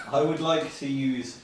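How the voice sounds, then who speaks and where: A man playing a tabletop game, chatting playfully with a mischievous, chuckling manner. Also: on the high side. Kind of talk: casual playful game chat. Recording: distant, echoey room with clear noise.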